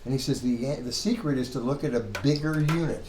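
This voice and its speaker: A man's voice: mid-range voice, a little fast. A man gives an informal lecture in an echoey room, with some background noise around his voice. He talks conversationally, asking rhetorical questions and correcting himself along the way. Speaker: seminary Hebrew lecturer. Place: classroom with students. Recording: roomy echo, clear background noise.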